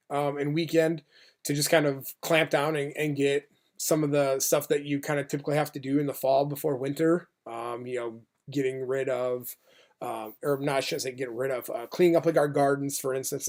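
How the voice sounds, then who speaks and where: A man's voice: mid-range voice, steady tone, normal speed, a little mellow. An American man giving a casual vlog update as an animator, speaking informally in a rambling, hesitant way.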